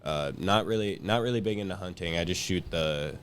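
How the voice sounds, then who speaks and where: Low-voiced teenage guy, some background noise. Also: brisk, a bit bright. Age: late teens. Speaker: student guest. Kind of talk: casual chat.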